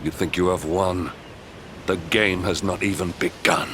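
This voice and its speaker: A man's voice: deep voice, fairly even intonation, a little slow, noisy background. A man playing a menacing, relentless knight commander in a video game cutscene, declaiming imperiously. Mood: cold menace. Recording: music behind the voice.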